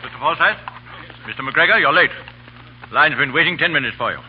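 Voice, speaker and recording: An actor playing a stern, commanding army colonel with clipped military speech in a 1930s radio drama. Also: male voice, a little high, expressive, fairly quick, natural voice. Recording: dull, hissy 1930s radio recording.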